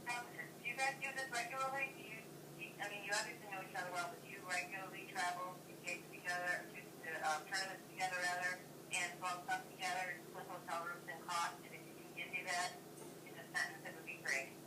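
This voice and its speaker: A woman runs an interview and gives friendly, patient instructions, stopping and restarting her phrasing now and then. She sounds distant and roomy, with steady noise under her voice. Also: fairly low pitch, quick pace. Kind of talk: directing an interview.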